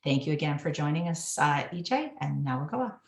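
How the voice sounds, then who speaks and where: A woman's voice: deep voice, expressive, fairly quick, creaky, roomy sound, a little hiss or hum. A woman hosting an online session, introducing the guest speaker with frequent hesitations. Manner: hesitant, many fillers. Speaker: event host.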